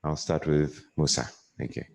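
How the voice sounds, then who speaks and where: A man moderating a conference panel, brisk and jokey, who often repeats his words. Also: rumbling low, steady tone. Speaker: session moderator. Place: conference hall.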